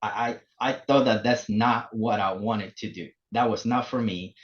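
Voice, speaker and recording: A man in his forties from El Salvador, speaking English, telling his story as a podcast guest with many pauses and fillers. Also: fairly low pitch, fairly even intonation. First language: Spanish. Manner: halting, many fillers and restarts. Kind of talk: casual interview. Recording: muffled lo-fi remote audio.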